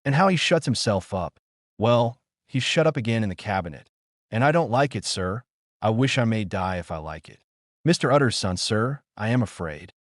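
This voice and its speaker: A man's voice: on the low side, expressive, moderate pace, no echo, clean studio sound, lightly acted. A man voicing an anxious android butler in an audiobook, formal, deferential and urgent.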